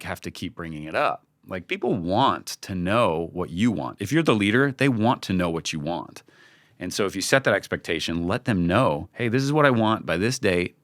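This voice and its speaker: A man's voice: fairly low pitch, a bit bright, no room sound, studio quality. A man speaking informally and candidly as a team leader in a podcast interview, often acting out bits of conversation. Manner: informal, candid, often acts out quoted remarks. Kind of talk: casual conversational interview talk.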